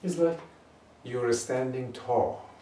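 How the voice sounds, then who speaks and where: A man leading a guided meditation, slowly drawing out and chanting short phrases. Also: mid-range voice, dark tone, a little hiss or hum. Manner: slow, drawn-out chanting of phrases. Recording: echoey room, mic at a distance.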